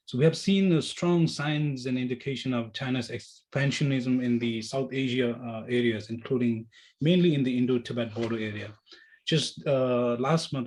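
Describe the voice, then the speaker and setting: Guy from nepal, activist on an interview, keeps stopping and restarting with lots of uhs, plain middle pitch. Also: a little mellow. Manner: halting, many fillers and restarts. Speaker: activist guest. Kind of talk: remote interview answer.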